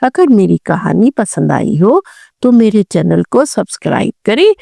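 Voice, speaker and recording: A woman's voice: mid-range voice, very animated, a little slow, gravelly, mellow, dry recording, studio quality. A woman narrating a scripted children's story, speaking expressively and addressing young listeners directly.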